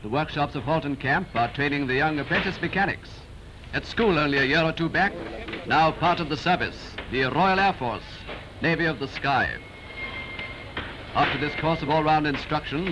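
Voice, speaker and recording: A man's voice: a little high, moderate pace, lo-fi sound, background noise. A British man reading scripted 1930s newsreel narration in a grandiose, declamatory, rousing manner. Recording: old film soundtrack from VHS, loud music. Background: loud newsreel music.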